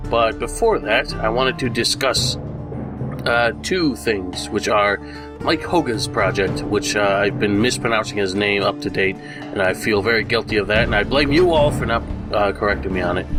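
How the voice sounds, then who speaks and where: A man's voice: on the low side, moderate pace, music in the background. A man who sounds congested from a recent illness gives a casual, rambling news update for his channel, full of fillers and restarts. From United States. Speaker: gaming YouTuber.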